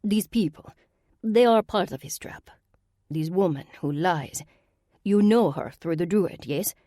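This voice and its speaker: A woman's voice: lowish voice, expressive, a little slow, warm tone, no room sound, clean studio sound. A woman voicing an elegant older sorceress, speaking serenely and coaxingly with a cold edge underneath. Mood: silky menace.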